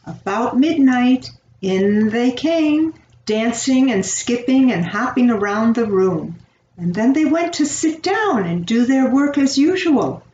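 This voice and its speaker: An older woman reading a children's fairy tale aloud as a storyteller, with a gentle, unhurried delivery. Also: on the high side, lively intonation. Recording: roomy, distant, dull home recording, faint noise.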